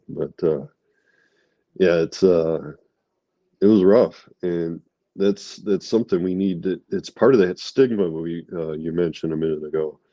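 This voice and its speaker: Low congested veteran man, halting. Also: mellow, no echo, dull audio. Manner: halting, many fillers and restarts. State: congested from a cold. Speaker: guest, army veteran. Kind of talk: candid interview talk.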